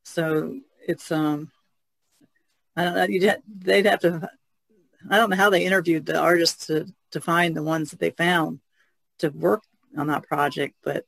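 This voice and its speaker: A man's voice: on the high side, fairly even intonation, a little slow, vocal fry, no room sound, poor audio. A man chatting casually on a podcast about art, thoughtful and trailing off at times. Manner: thoughtful, trailing off, laughs softly. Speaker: podcast guest artist. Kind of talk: casual discussion.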